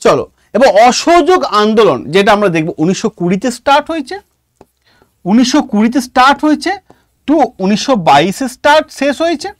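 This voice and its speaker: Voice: mid-range voice, sing-song, brisk, dry recording, studio quality. A teacher with an Indian Bengali accent giving an online exam lesson, explaining emphatically and often checking understanding with short questions. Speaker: exam-prep history teacher.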